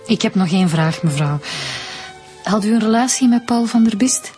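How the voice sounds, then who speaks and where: An actress with a Flemish accent playing a polite, persistent police inspector with a hesitant, probing manner in a radio drama. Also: female voice, medium pitch, lively intonation, moderate pace, darkish tone, faint music behind, natural voice.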